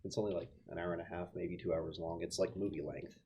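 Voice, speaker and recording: A man's voice: deep voice, steady tone, fast talker, dark tone, lo-fi sound, a little hiss or hum. A man chatting casually as a player in a tabletop game, with a wry, joking manner. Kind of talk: casual chat.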